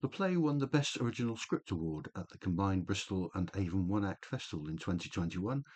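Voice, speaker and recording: A man's voice: low-pitched, lively intonation, a little fast, darkish tone. A man, the playwright, giving a scripted announcement of the credits after a radio play.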